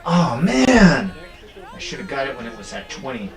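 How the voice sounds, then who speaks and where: A man's voice: mid-range voice, moderate pace, a faint crowd. A young man chatting casually and teasing a friend while they watch a basketball game together. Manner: joking, teasing, lively banter. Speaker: co-host. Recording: echoey room.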